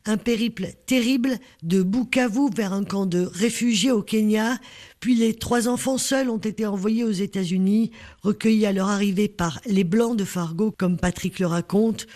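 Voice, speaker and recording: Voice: medium pitch, darkish tone. A reporter narrating a scripted news report.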